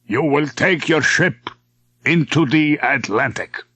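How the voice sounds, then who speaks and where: A man voicing a weary, imposing senior admiral in an audiobook, speaking in slow, thick, authoritative tones. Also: a little high, a bit bright, husky, no echo, voice pushed up, exaggerated voice. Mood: grave briefing. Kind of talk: audiobook character acting.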